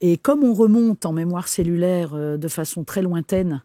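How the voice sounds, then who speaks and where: A woman's voice: lowish voice, normal speed, dark tone, no echo, clean studio sound. A woman from the Paris region with an accent from France, explaining as an interview guest, searching for her words and repeating phrases. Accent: French from France. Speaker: interview guest, cellular memory practitioner.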